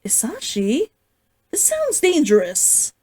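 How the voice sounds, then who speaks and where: A woman voicing a disdainful, worried woman who asks sharp, pointed questions in a story. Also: high-pitched, wildly swooping pitch, slow, pitched above the performer's own voice, exaggerated voice. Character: disdainful, suspicious, worried woman, the hunter's best friend.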